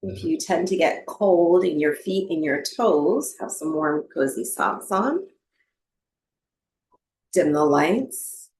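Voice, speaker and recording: Woman, meditation teacher, low pitch, very slow pace, gentle and soothing, spacious pauses. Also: expressive. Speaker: yoga nidra and breathwork teacher. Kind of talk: guided meditation instruction. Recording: roomy, echoing voice recording.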